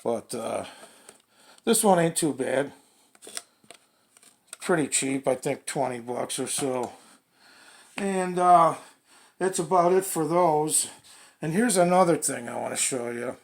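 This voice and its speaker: A man showing products casually and unscripted, in a plain, folksy, halting way. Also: on the high side, lively intonation, gravelly. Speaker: radio hobbyist presenting product reviews.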